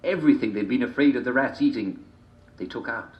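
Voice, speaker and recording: A man telling a dark fairy tale in a theatrical, deliberate manner, with repeated phrases and dramatic pauses. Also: mid-range voice. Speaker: storyteller reading a folk tale. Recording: dull, roomy, echoing home recording.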